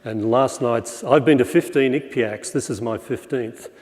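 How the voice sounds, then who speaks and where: An older man with a croaky voice, giving a wry, relaxed conference lecture on stage with many fillers. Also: mid-range voice, a little slow. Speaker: plenary speaker. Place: large auditorium stage. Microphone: far room pickup, speaker at podium. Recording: reverberant lecture hall.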